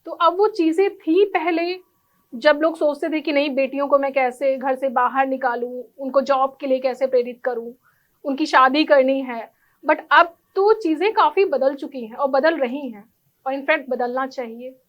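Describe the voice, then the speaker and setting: High-pitched woman, interview guest. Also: measured pace, muffled recording. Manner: earnest, mixes English words into speech. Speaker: interview guest, architect and NGO volunteer. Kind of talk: formal interview answers.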